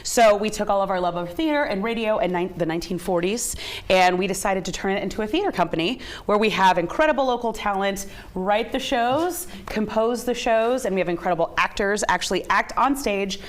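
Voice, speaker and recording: Female American guest, mid-pitched, bubbly and effusive in casual promotional chat. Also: brisk. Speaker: interview guest, theater company co-founder.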